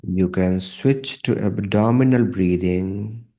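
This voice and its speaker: A man leading a guided meditation in a calm, measured manner, leaving long pauses between short phrases. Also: fairly low pitch.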